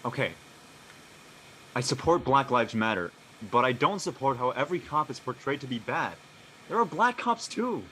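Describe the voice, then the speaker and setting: A man's voice: mid-range voice, very animated, normal speed, a bit bright, a little hiss or hum, poor audio. An actor playing a prying, contrarian teenage boy in casual, slangy drama dialogue. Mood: argumentative.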